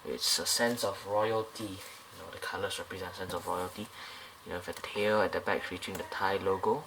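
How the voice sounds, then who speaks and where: A man's voice: low voice, steady tone, a little fast, creaky, noisy background. Chatty man reviewing a model plane. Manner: rambling, many fillers and restarts. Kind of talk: casual product review.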